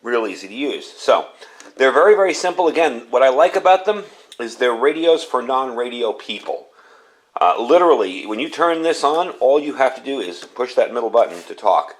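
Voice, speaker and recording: Man, folksy plain-spoken reviewer, casual vlog delivery, mid-pitched voice, repeats points for emphasis. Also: very animated, normal speed. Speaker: product reviewer and channel host.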